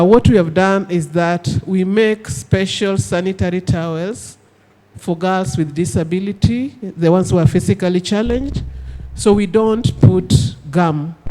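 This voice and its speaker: A woman's voice: fairly low pitch, warm tone. A woman, introducing herself and sharing her organization's work at a conference. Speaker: audience participant from an organization.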